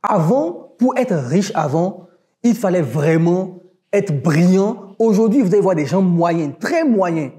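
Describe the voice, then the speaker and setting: A man's voice: high-pitched, lively intonation, a little slow, dark tone. A man with a West African French accent, talking in a casual podcast about online business, emphatic and motivational, speaking straight to listeners.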